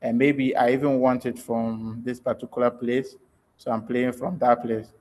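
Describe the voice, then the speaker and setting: A man's voice: medium pitch, little pitch movement, normal speed, darkish tone. A man giving an informal online tutorial as a trainer, explaining step by step in a hesitant way with check-in questions. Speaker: trainer in an online audio editing workshop. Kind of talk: live screen-share tutorial, informal explaining. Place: online video call.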